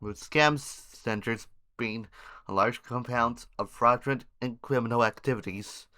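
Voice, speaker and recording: A man with a bright, forceful voice at a middling pitch hosts a homemade news podcast. He reads headlines haltingly, trips over words and names, and slips in informal asides. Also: clear, resonant tone.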